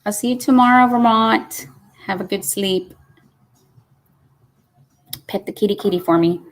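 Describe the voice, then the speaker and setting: A woman's voice: medium pitch, measured pace, rough recording. A woman, hosting a casual live stream and chatting folksily as she reads comments aloud. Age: 50s. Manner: chatty and folksy, says y'all.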